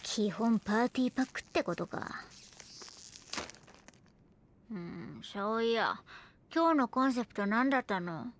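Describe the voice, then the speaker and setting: An actress playing a world-weary, sardonic woman in an anime audio drama, grumbling in blunt, rough phrasing. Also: fairly high pitch, lively intonation, a little slow, long pauses, slight background noise. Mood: grumbling, casual.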